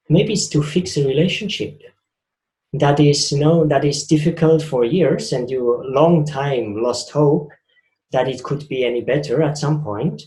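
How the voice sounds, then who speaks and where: A man's voice: mid-range voice, mellow, reverberant, rough recording. A man with a Swiss accent giving a reflective spiritual talk, earnest and repeating phrases for emphasis. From Switzerland. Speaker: spiritual speaker.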